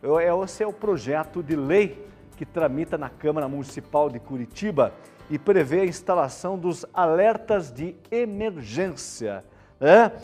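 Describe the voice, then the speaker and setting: A man's voice: a little high, a little mellow. A man presenting a live variety show in a chatty, colloquial manner. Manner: chatty, colloquial, checks in with listeners. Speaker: television show host. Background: background music.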